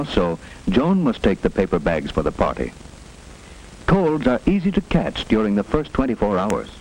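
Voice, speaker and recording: A man's voice: lowish voice, a little mellow. A man narrating a 1940s educational film in a simple, instructive manner suited to children. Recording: noisy 1940s film soundtrack with hiss.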